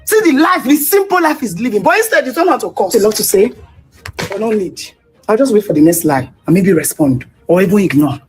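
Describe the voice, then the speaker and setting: A woman's voice: medium pitch, animated, a little fast, soft background music. A Nigerian woman hosting a political commentary vlog, talking bluntly and slipping Pidgin into her English. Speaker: host.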